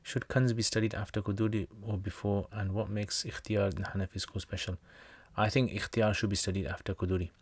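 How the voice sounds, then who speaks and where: Brief: male livestream host and teacher, low-pitched, dry close sound, jokey and rambling. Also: flat delivery, fairly quick, hardly any pauses, husky, dry recording. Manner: jokey, rambling, with slangy asides. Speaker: Islamic teacher hosting a livestream Q&A. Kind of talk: casual livestream chat, answering viewer comments.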